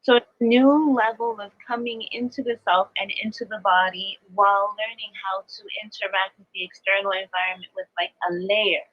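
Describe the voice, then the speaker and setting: A woman's voice: medium pitch, fairly even intonation, brisk, few pauses, lo-fi sound. A woman speaking as a spiritual teacher, explaining earnestly and reflectively in new-age language during a live coaching talk. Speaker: spiritual teacher, astrology adviser.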